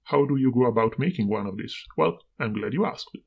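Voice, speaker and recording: A man with a husky, slightly gravelly voice presenting an informal hands-on electronics explanation. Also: mid-range voice, animated, a little fast, very noisy. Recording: dull, lo-fi home video audio.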